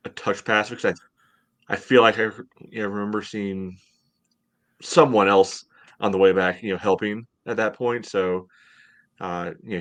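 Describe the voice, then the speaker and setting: A man's voice: low voice, a bit bright, dry recording. A man in his thirties co-hosting a casual sports analysis from home on a video call. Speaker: podcast co-host.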